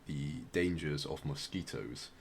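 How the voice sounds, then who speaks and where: A man hosting a podcast, explaining things casually in a hesitant, measured, dry way. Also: a bass voice. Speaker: podcast host presenting outsider music. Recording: clear background noise.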